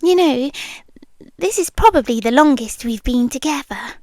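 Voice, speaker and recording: British girl whispering asmr roleplay, high voice, rambles nervously, right on the mic. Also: lively intonation, normal speed, no room sound, clean studio sound. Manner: soft half-whispered, rambling and nervously chatty. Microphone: close ASMR microphone.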